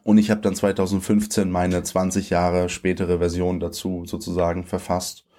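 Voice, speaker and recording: A man's voice: low voice, monotone, normal speed, warm tone. A man in his late twenties from Germany, a poet talking things over in an interview, thoughtful and searching, with frequent fillers and restarts. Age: late 20s.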